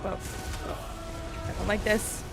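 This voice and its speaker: A woman game streamer giving casual live gameplay commentary and chatting with viewers. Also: takes it slowly. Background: game music.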